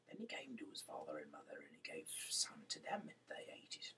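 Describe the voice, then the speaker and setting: A man reading scripture aloud as an amateur, in a breathy, gravelly voice with a halting, stumbling delivery. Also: extremely low pitch, wildly swooping pitch, bright, forceful tone, whispered, far from the mic. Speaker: reader, host of a Bible-reading series.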